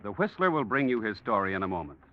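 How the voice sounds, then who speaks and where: A man's voice: lowish voice, big pitch swings, quick pace, faint music behind. A man reading scripted sponsor announcements as a radio announcer in 1940s broadcast style. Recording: dull vintage radio broadcast.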